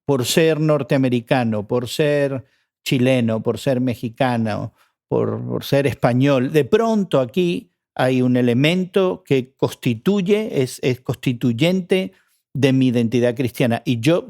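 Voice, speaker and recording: A man's voice: mid-range voice, a little mellow. Earnest man on a faith panel. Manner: earnest, restarts words often. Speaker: radio panel host. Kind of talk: faith discussion.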